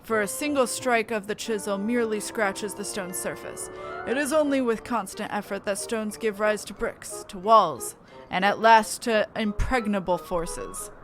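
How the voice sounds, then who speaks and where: A woman voicing a steadfast, formal noble mentor in a fantasy game, speaking in a measured, exhorting way. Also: medium pitch, music in the background, lightly acted. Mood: encouraging.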